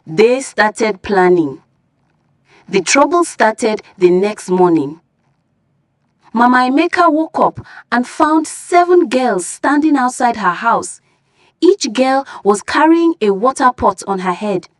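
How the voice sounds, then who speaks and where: A woman storyteller reads a scripted folktale. Her voice sits a little high. The recording is dry and close, with no room sound. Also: animated, slow pace, no room sound.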